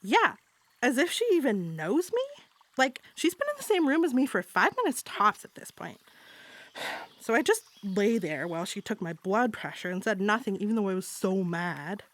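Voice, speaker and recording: A woman's voice: medium pitch, big pitch swings, moderate pace, fairly bright tone. A woman playing an indignant, chatty eleven-year-old girl who is easily delighted, in a theatrical monologue.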